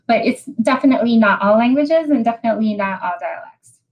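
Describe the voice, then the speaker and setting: A woman from Hawaii with a Hawaiian accent, giving a technical webinar talk over a video call, often pausing and repeating words as she explains. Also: on the high side, lively intonation, highs cut off. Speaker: presenter.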